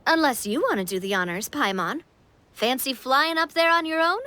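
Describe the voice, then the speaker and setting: A woman's voice: high-pitched, lively intonation, normal speed, sharp tone. A woman playing a cheerful, confident young adventurer guide in a video game, upbeat, playful and reassuring. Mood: playful, assured. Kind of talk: video game character acting.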